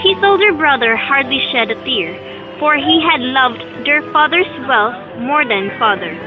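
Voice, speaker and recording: A woman's voice: high-pitched, animated, measured pace, muffled recording, music in the background. A young woman reading scripted storybook narration for an amateur audio drama. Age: young adult.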